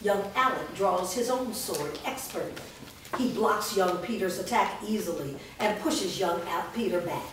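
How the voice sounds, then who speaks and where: A woman's voice: medium pitch, lively intonation, a little slow. A woman reading scripted stage directions aloud as narrator of a staged reading. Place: large echoey room, recorded from afar. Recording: echoey room, distant mic, noisy.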